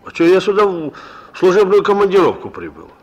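An actor playing a street-tough petty criminal in a Soviet radio drama, slangy and insolent, with a desperate edge. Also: male voice, high-pitched, sing-song, brisk, a little mellow, muffled recording. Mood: sullen, defiant. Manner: slangy, insolent, pleading under pressure.

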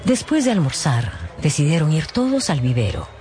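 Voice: mid-range voice, wildly swooping pitch, moderate pace, darkish tone, slight background noise. A narrator telling a radio novel in a scripted, dramatic style.

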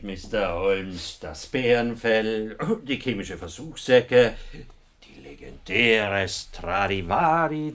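An actor playing a self-assured, probing psychoanalyst in a German radio drama, eloquent and effusive, with rhetorical emphasis. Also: male voice, lowish voice, fairly bright tone, full character voice. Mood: effusively admiring.

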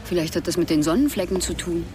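A woman's voice: on the low side, animated, quick pace, crowd noise, close to an everyday voice. A woman acting a polite, curious television journalist in naturalistic radio drama dialogue.

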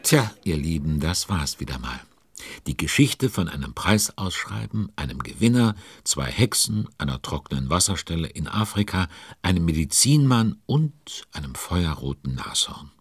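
A man's voice: low-pitched, sing-song, moderate pace, breathy edge, dry recording, clean studio sound, heavily acted. A man narrating a children's audio story, chatty and speaking directly to young listeners.

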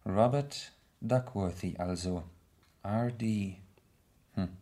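Measured, probing gentleman sleuth. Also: on the low side. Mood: calm, inquisitive. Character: calm, shrewd gentleman sleuth, a lord questioning a suspect. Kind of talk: 1970s German radio play acting.

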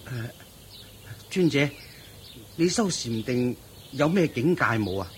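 An actor playing an eager, admiring young lay disciple, deferential and naive, speaking Hong Kong Cantonese in a radio drama. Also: male voice, medium pitch, very animated, slow, slight background noise. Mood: curious, respectful.

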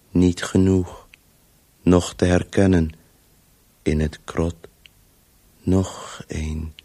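Very deep, weary storytelling voice. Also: male voice, slightly rough, full character voice. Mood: weary, narrating. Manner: fragmented, terse, monotone, urging. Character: weary, driven inner voice of a man compulsively storytelling. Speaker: radio drama actor. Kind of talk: Dutch radio play monologue.

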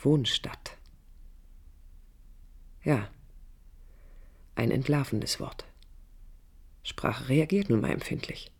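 An actress playing a proud, vulnerable yet resolute woman architect in a radio play, speaking in a reflective, deliberate, emphatic way with a breathy voice. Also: very deep voice, a little mellow, exaggerated voice. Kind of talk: radio play acting, introspective first-person monologue.

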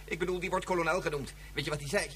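A man's voice: fairly high pitch, steady tone, rapid-fire, a crowd in the background, natural voice. An actor playing a defiant father who tells his story emphatically, repeating phrases, in a science-fiction radio play. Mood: agitated. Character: defiant, talkative father held captive by a gang.

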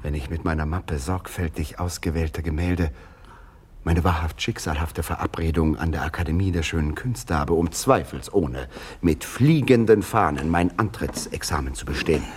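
An actor playing a vain, touchy, antisemitic young painter in a theatrical radio play, speaking in a pompous, defensive way. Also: male voice, rumbling low, normal speed, husky. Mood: self-important.

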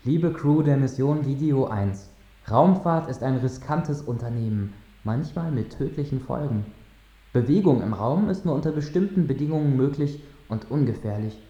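A man playing a measured mission control lecturer, giving a formal address in a radio drama. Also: mid-range voice, animated, mellow, natural voice. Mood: solemn, official. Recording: dull, echoing transmission sound.

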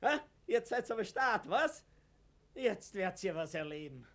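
A man's voice: high voice, sing-song, a little slow, fairly bright tone, heavily acted. An actor playing an anxious, humble older man from Vienna, hesitant and pleading, in a German radio play. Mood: excited.

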